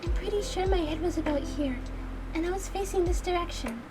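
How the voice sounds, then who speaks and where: A woman's voice: extremely high pitch, a little fast, noisy background, pitched above the performer's own voice. A woman voicing a timid, polite young woman in a visual novel game, earnest, anxious and hesitant. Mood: puzzled, worried. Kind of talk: voiced visual novel game dialogue read aloud.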